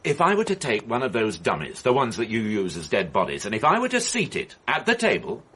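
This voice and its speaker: An actor playing a calm, methodical police inspector in a radio drama, questioning formally and pointedly. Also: male voice, on the low side, a little fast, husky, exaggerated voice. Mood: insistent, accusing.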